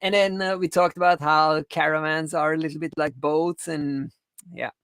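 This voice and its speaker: A woman co-hosting a casual livestream, telling rambling anecdotes in a halting, repetitive, teasing way. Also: low-pitched, steady tone, smooth, dry recording, clean studio sound. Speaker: livestream co-host.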